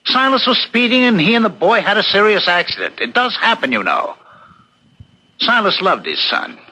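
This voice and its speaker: Firm, reasoning man, forceful and animated. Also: sits high, normal speed, full character voice, poor audio. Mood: firm, remonstrating. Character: firm, reasoning husband-like man defending his friend. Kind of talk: 1970s radio drama acting. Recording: 1970s radio drama recording.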